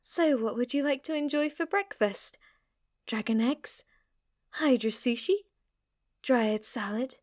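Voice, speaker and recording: A woman performs as a fawning fantasy maid who worships her master. Her voice sits fairly high and she speaks slowly, with formal reverence and a flirty submissiveness, recorded close and dry for ASMR roleplay. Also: a little mellow, no echo. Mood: apologetic, eager servant.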